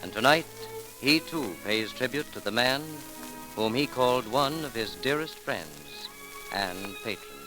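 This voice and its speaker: A male radio announcer from the 1930s speaks with formal, measured solemnity. His medium-pitched voice sits over prominent music on an old broadcast recording. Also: lively intonation, poor audio.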